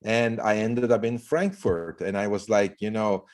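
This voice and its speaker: Low-voiced rambling man from Turkey. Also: steady tone, a little slow. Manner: loose, rambling with many fillers. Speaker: guest, startup CEO. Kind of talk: casual interview talk.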